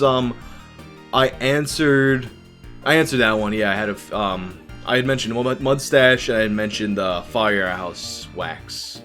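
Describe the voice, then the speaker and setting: A man's voice: mid-range voice, fairly bright tone. Profane, rambling young man. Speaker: YouTuber answering viewer questions. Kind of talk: casual livestream Q&A.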